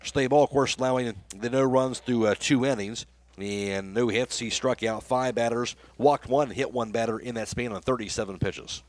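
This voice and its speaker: A man announces baseball on local radio in a medium-pitched voice. He moves between play-by-play calls and sponsor reads, and the sound is dry, with little room in it. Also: normal speed, dry recording. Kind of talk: baseball play-by-play commentary with read sponsor spots. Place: live radio broadcast from a ballgame.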